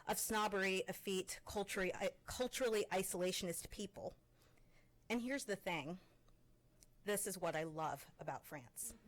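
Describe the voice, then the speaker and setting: A Canadian-born woman presenting as a faculty member, reading her personal essay aloud in a measured, expressive way with pointed pauses. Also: mid-range voice, low-quality recording.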